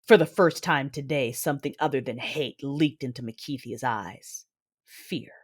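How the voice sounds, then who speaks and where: A woman's voice: a bass voice, normal speed, slightly rough. An actress playing a vengeful, anguished Sith warrior, narrating her own tale in an intense, brooding, theatrical manner for an audio drama. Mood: bitter. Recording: loud music behind the voice. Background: loud dramatic music.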